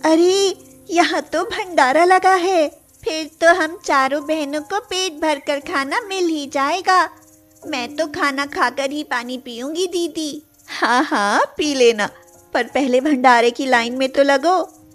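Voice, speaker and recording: Innocent poor young sister, acted by a woman, childlike and earnest, high voice, storybook cartoon over music. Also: slow pace, a bit bright. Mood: eager, pleading.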